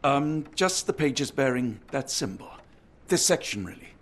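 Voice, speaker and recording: A man's voice: medium pitch, big pitch swings, unhurried. A man with a British accent playing an earnest, formal doctor and loyal companion in a video game. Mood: polite. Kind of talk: scripted video game cutscene acting.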